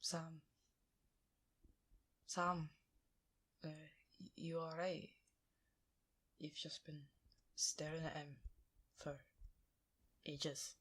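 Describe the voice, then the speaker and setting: Female survivor, audio drama: husky, slow-paced, caring, sweary, quick to panic. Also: sits low, steady tone, a bit bright, heavily acted, low-quality recording. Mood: hushed concern. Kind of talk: naturalistic audio drama acting.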